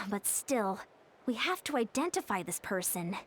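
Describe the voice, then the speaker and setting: A woman playing a young female warrior in a video game, frank and dutiful, explaining things in an earnest, measured, formal way. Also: on the high side, very animated, slight background noise. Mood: earnest, serious. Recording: faint music behind the voice.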